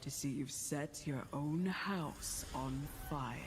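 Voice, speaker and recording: A woman playing a mysterious, wry storyteller in a video game, narrating cautionary fables in a measured, theatrical way. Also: sits low, noisy background. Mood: brooding, cautionary. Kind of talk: dramatic scripted game narration.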